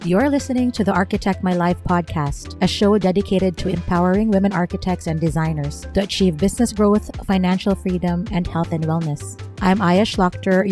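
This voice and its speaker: A woman hosts a podcast in a measured, read-aloud manner. Her voice sits at an ordinary middle pitch, with soft music playing faintly behind her. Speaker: podcast host. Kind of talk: scripted intro and outro, interview questions.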